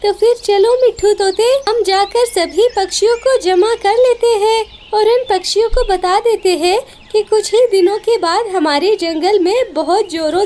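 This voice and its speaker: Woman voicing a very high-pitched wise little bird. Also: darkish tone. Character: wise, hardworking, caring little female bird. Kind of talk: cartoon story character voice.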